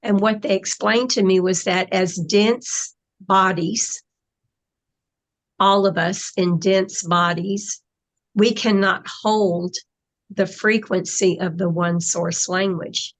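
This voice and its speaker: A woman's voice: mid-range voice, fairly even intonation, takes it slowly. A woman in casual conversation, explaining her spiritual channeling in a reflective way, with trailing phrases.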